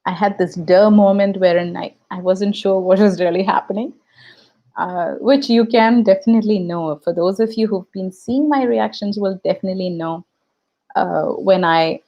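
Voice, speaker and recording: A woman with an Indian English accent, Tamil her first language, talks casually to viewers as a YouTube host. Her mid-pitched voice has a gravelly fry at the ends of phrases. She muses aloud, full of fillers and restarts. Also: warm tone, lo-fi sound. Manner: reflective, chatty, frequent fillers and restarts. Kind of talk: casual live-stream chat.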